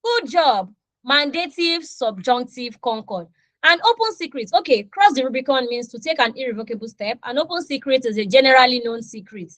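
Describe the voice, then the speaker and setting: Nigerian accent, woman, thirties, online English lesson, animated, higher pitch. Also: dry recording, poor audio. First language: Yoruba. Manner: animated, acts out little dialogues with students. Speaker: English teacher. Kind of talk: online class lecture.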